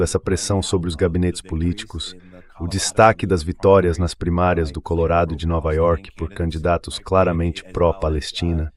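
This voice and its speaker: A man with a synthetic dubbed voice, giving conversational political commentary as a guest. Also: sits low.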